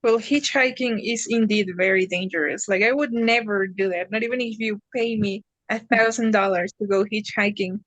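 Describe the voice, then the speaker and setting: A young woman from Mexico with a Mexican accent, a student teacher speaking in a lively, animated way in a speaking exam. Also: medium pitch, moderate pace, few pauses, no room sound, poor audio. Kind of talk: exam conversation.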